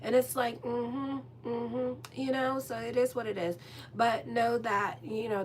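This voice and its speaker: A woman's voice: medium pitch, slight background noise. A woman doing a casual tarot horoscope reading as an astrologer, rambling and chatty with lots of fillers and a direct manner. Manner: frank and direct with listeners.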